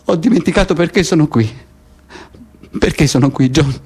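A man's voice: a little high, moderate pace, a little mellow, husky. An actor playing a bitter, despairing political prisoner in intense naturalistic radio theatre, insistent and repetitive as he addresses his cellmate. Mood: intense, brooding.

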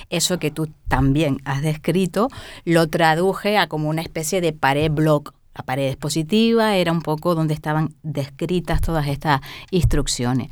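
A woman artist speaking as a guest in a casual interview, talking in animated bursts. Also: low-pitched, dry recording.

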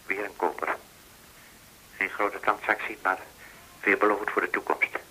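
Hesitant dealer, piercingly bright low voice. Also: male voice, steady tone, normal speed, rough recording. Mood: guarded. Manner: hesitant, clipped, polite. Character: deferential, cautious male gold dealer in Hong Kong. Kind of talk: radio play acting. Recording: hissy old radio recording.

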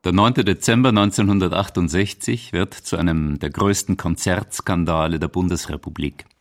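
A man's voice: low voice, normal speed. A man delivering scripted documentary narration for a radio feature.

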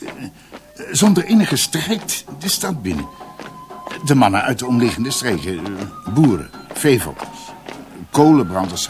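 A man playing a reflective doctor recounting family history in a radio drama, with hesitations and dramatic pauses. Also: lowish voice.

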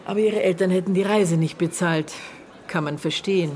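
A woman acts out a guarded middle-aged aunt in a radio drama. Her voice sits slightly low, and she answers curtly or gropes hesitantly through memories, prickly when pressed. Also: fairly quick, darkish tone, natural voice. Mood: guarded, recollecting. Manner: hesitant recollection, curt retorts. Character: prickly, guarded aunt of a dead girl, witness.

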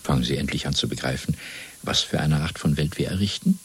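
An actor playing a coldly domineering party interrogator in a German radio play, lecturing in a measured, zealous way with a gravelly, husky voice. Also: male voice, extremely low pitch, full character voice. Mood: menacing, exalted. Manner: measured, lecturing, swelling from hushed to fervent.

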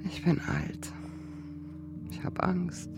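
A woman's voice: slow, in a whisper, over music, natural voice. An actress playing a weary older woman in a radio drama, blunt and longing, speaking in very short phrases. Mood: anxious.

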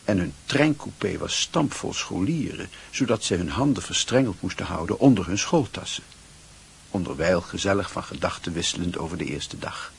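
A man's voice: low voice, normal speed, vocal fry, husky. A man giving scripted literary narration for a Dutch radio play.

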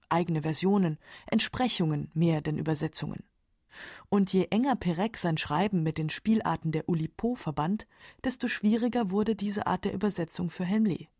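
Husky, low-pitched woman narrating. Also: a little mellow, no room sound, studio quality. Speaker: documentary narrator. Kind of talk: scripted narration, German radio literary feature. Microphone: close studio microphone.

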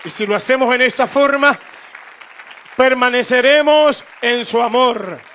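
A man's voice: extremely high pitch, unhurried, bright, forceful tone, some chatter behind, slight background noise. A man preaching a fervent sermon as a radio preacher. He stresses points by repeating them and calls on listeners to respond. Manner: fervent and emphatic, with repetition and rising exhortation. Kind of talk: evangelical sermon.

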